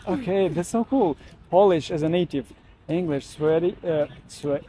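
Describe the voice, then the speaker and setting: A man with a slightly higher, mellow voice asks passers-by friendly, loose questions on a city street. He speaks into a handheld mic, with faint street noise around him. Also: moderate pace. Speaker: street interviewer. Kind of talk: casual street interview.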